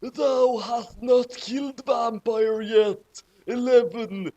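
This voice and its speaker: A man voicing the melodramatic teenage goth girl who narrates a fan fiction, in a theatrical, drawn-out reading. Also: very high-pitched, steady tone, mellow, lo-fi sound, pitched above the performer's own voice, heavily acted.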